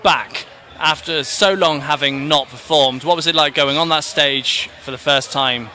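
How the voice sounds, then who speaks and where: Man, interviewer, higher voice, very bright, friendly and a bit hesitant, outdoor festival noise. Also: a little slow. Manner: friendly, hesitant, stumbling restarts.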